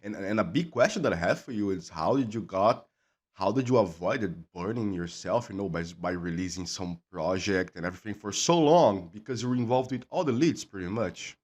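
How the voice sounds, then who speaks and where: A man's voice: lowish voice, lively intonation, brisk, a little mellow. A man interviewing a guest, asking friendly, informal questions with small slips of grammar. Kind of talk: casual interview.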